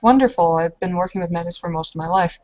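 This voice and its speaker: Low-voiced woman roleplaying on muffled audio. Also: fast talker, darkish tone, no echo. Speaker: player in a tabletop roleplaying game. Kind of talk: casual in-character roleplay and narration.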